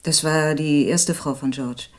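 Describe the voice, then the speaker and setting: A woman's voice: low voice, steady tone, unhurried. An actress playing a gossipy, pitying female friend who confides her opinions in an audio drama. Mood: reminiscing. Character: gossipy, pitying woman, loyal friend testifying.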